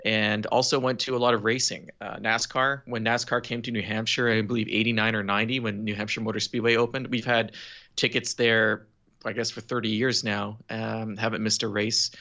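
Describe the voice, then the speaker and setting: A man from Quebec, Canada, talks as a podcast guest in a bright, forceful voice that sits a little low. He tells stories in a relaxed way with dry humor. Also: fairly quick, dull audio. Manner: relaxed storytelling, wry humor. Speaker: automotive journalist guest. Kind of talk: casual podcast interview.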